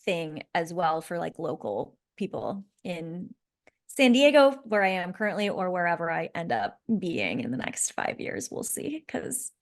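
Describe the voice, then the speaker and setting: A woman's voice: on the low side, big pitch swings, measured pace. Bubbly woman in her thirties, chatty. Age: 30s. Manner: bubbly, laughs often, rambling restarts. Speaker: podcast co-host. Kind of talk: casual podcast chat. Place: San Diego.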